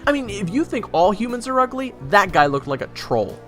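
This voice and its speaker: Man playing an earnest, flustered guy with a creaky voice. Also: high voice, sing-song, faint music behind. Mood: indignant. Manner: casual, halting, earnest. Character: earnest, loyal, hot-headed human man, easily flustered companion. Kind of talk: audio roleplay drama with first-person narration.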